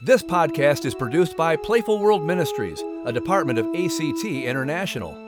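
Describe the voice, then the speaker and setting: A man's voice: a little high, big pitch swings, fairly quick. A man presenting a scripted comedy podcast as its narrator and announcer. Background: music.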